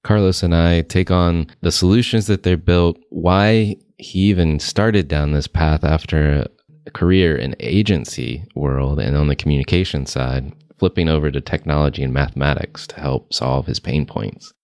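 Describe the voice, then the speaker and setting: A man hosting a marketing interview podcast, introducing and questioning his guest in a steady, conversational way. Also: extremely low pitch, normal speed, clear, resonant tone, no echo. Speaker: podcast host.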